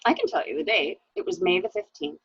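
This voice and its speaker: A woman's voice: on the low side, big pitch swings, brisk, a bit bright, slight background noise. A woman in an amateur mystery drama reading, playing a nervous, reluctant wife drawn into a cover-up, hesitant and pleading. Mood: uneasy. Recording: dull, muffled lo-fi microphone.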